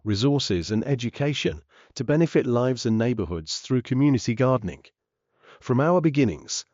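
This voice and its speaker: A man reading a scripted practice passage aloud in a measured, formal manner. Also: mid-range voice, darkish tone, dry recording. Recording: muffled lo-fi audio.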